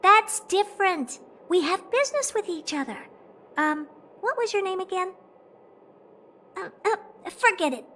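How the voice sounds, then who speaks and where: A woman's voice: very high-pitched, lively intonation, measured pace, pauses often, a bit bright, heavily acted. A woman voicing a haughty, blunt, self-important female genius who owns a space station, in an English video game dub. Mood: dismissive, brisk. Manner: haughty, blunt, dismissive, easily intrigued.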